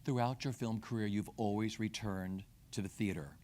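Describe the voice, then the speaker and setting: A man moderating an interview, putting prepared questions in measured, formal phrasing. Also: fairly low pitch, steady tone, a little mellow.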